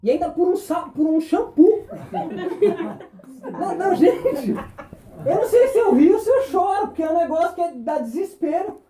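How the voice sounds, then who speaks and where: A man preaching a sermon, impassioned, firing rhetorical questions and dropping between loud and hushed. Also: very high-pitched, expressive, unhurried, roomy sound. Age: 20s.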